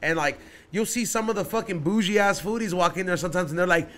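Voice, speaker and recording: A man, hosting a podcast in casual chat, loud and swearing, telling stories on a studio mic. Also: high voice, fast. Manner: loud, swearing storyteller who raises his voice for punchlines. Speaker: podcast host. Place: small studio room decorated with neon signs. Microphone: studio mic on boom arm, wearing headphones.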